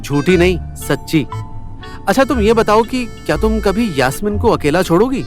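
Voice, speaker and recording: A man's voice: high voice, animated, normal speed, faint music behind. A man acting an ardent, persuasive lover in a romantic audio story. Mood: tender, pleading. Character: ardent, pleading male lover, restless and persuasive.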